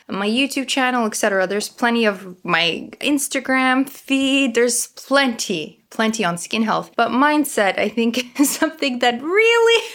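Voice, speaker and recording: A woman's voice: on the high side, a bit bright. A woman in her thirties from Canada with a slight Ukrainian accent, chatting on a casual live stream as host, bubbly and giggly. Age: 30s. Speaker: skin health host.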